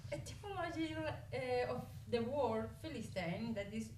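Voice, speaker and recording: A woman's voice: on the high side, animated, measured pace, a little mellow, a little hiss or hum. A woman on a panel who laughs often and spells words out as she explains. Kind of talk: panel discussion. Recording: echoey room, distant mic.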